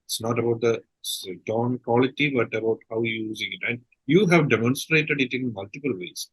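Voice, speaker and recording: A man with an Indian accent moderating an interview, putting questions in a halting way and rephrasing as he goes. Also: mid-range voice, husky, no room sound, rough recording.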